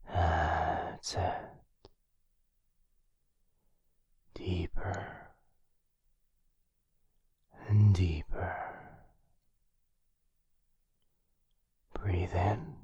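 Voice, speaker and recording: A man playing a gentle, protective vampire lover in an intimate boyfriend ASMR roleplay, tender and hushed, with a breathy, husky voice. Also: low-pitched, no echo, lo-fi sound, heavily acted. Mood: hypnotic, soothing. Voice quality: breathy, husky, often whispering. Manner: tender, hesitant, reassuring, hushed. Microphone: close microphone.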